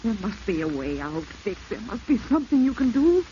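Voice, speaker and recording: An actress playing the anxious, pleading wife of a criminal, worried and imploring, in 1940s radio melodrama style. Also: female voice, mid-range voice, sing-song, brisk, warm tone. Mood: alarmed pleading. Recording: muffled hissy vintage radio recording.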